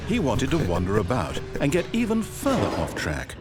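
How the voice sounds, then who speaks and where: A man's voice: medium pitch, wildly swooping pitch, normal speed, music in the background. An actor playing a wry, sardonic male video game narrator who teases the player with dry mock praise. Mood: flustered.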